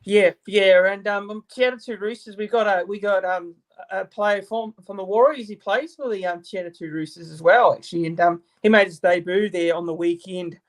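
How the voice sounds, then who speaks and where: A man's voice: high voice, fairly quick, few pauses. A man from New Zealand with a New Zealand accent, hosting a casual live-stream interview on a video call, talking with many fillers and restarts. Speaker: YouTube channel host.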